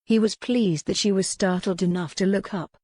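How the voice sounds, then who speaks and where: A woman's voice: mid-range voice, steady tone, normal speed, mellow, no echo, clean studio sound. A woman reading a historical romance novel aloud as a dramatic audiobook narrator.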